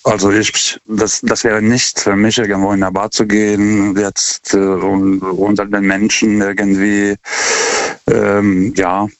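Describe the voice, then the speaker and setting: A man's voice: lowish voice, little pitch movement, a little slow, no room sound. A man, not a native speaker of the language, calling in to a radio show and talking hesitantly with many fillers and restarts. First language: not German. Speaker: caller. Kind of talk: call-in radio chat. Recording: dull phone-line audio.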